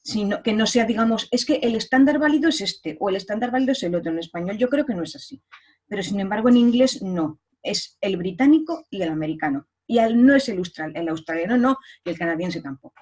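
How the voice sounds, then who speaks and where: A woman hosts a conversational interview. Her voice sits at a middling pitch, and she muses out loud, softening each point with hedges. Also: animated, fast. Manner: reflective, hedging with "yo creo". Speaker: interview host.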